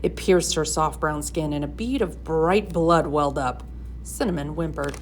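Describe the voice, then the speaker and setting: A woman gives an expressive storybook read-aloud. Her voice is on the lower side, and the recording carries faint room noise. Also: normal speed. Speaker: storybook reader.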